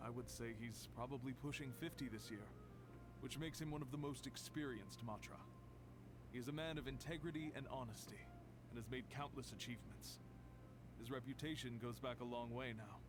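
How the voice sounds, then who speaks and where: A man acts a grave official in a video game, laying out facts in a measured, weary manner. His pitch is average for a man. Clear noise sits behind the voice. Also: lightly acted. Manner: measured, serious, explanatory. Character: grave, weary male archive official recounting past events. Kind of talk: video game voice acting, expository dialogue. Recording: noisy background on game stream.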